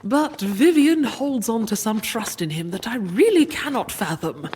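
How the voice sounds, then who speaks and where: A stately, slow-spoken queen. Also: on the high side, sing-song, darkish tone, slight background noise. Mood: regal, reproving. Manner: formal, measured, proper. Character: stately, imperious medieval comedy queen. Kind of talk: audio comedy drama acting.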